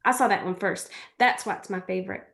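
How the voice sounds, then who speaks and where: An American woman playing a gentle, chatty rural girl in a script reading, with folksy phrasing and a playful, comforting manner. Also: medium pitch, quick pace, gravelly. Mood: playful, eager.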